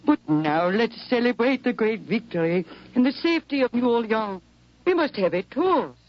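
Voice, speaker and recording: An actress playing a genteel, controlling middle-aged mother, measured, formal and theatrical in the manner of 1950s radio drama. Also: on the high side, expressive, lightly acted. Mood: brightly gracious. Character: genteel, controlling mother of a grown soldier son. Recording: dull, noisy 1950s radio recording.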